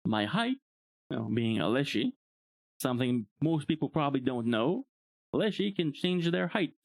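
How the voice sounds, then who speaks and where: A man's voice: mid-range voice, sing-song, moderate pace, no room sound. A young man from Bulgaria, a native Bulgarian speaker, chatting casually on a live stream, rambling and chuckling often. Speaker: VTuber streamer.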